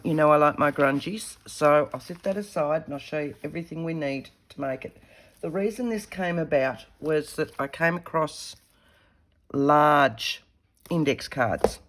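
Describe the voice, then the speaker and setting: A woman, slightly croaky from a head cold, chatting casually as she demonstrates a paper craft while working with her hands. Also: deep voice, a little slow, a bit bright. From Australia. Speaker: craft tutorial host.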